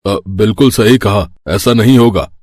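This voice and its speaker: A man playing a cautious, grumbling poor village husband in an animated moral story, protesting hesitantly. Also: on the low side, moderate pace, no echo, clean studio sound, natural voice. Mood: disapproving.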